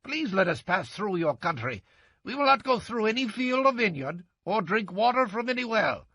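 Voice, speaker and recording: An actor playing an earnest Israelite messenger who pleads formally and declaims, in a dramatized audio Bible. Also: male voice, high-pitched, sing-song, normal speed, sharp tone, dry recording, full character voice. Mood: earnest, pleading. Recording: dry, dull studio track.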